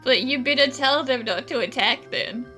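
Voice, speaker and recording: A woman's voice: high voice, normal speed, over music. A woman giving casual commentary as she plays a video game. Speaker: gamer commenting on gameplay.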